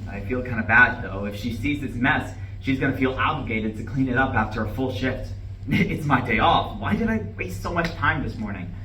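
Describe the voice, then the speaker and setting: A man acts out an eager, anxious young husband in a staged reading, keen to please his wife. His voice is bright and forward, heard from a distance in a roomy space with faint noise behind. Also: mid-range voice, lively intonation, moderate pace. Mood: uneasy. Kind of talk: live staged play reading. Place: live reading in a university room.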